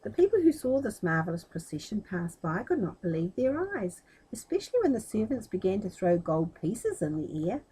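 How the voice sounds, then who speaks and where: A woman storyteller reading a children's tale aloud expressively, with animated, chatty asides. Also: medium pitch, moderate pace, few pauses, slightly rough, low-quality recording.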